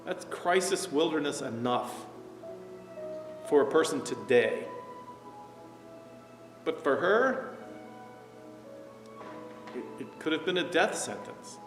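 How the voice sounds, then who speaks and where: A man in his sixties, a pastor from New Jersey, giving a reflective, conversational sermon from an armchair, sometimes dropping to a soft hush. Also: on the high side, lively intonation, slow pace, long pauses. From New Jersey, USA. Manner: reflective, gestures while talking, drops to a soft hush at key points. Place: living room, seated in armchair. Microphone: far camera. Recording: roomy echo, distant mic, faint music.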